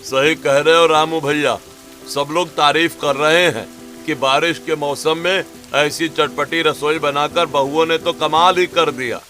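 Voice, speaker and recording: Humble village farmer, forceful higher male voice, grateful cartoon delivery, faint music behind acted by a man. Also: fairly even intonation, unhurried. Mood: proud, grateful. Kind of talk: cartoon story voice acting.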